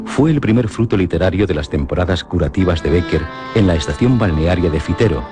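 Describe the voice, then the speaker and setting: A Spanish man presents radio commentary in a deep, low voice. He explains calmly and evenly. Music plays clearly beneath his words. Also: fairly quick. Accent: Spanish from Spain.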